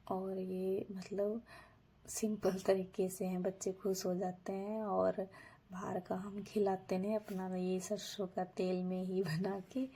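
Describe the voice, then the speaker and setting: A woman with a sore throat narrating her daily routine in a low, breathy half-whisper, unhurried and homely. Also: dark tone. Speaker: vlogger.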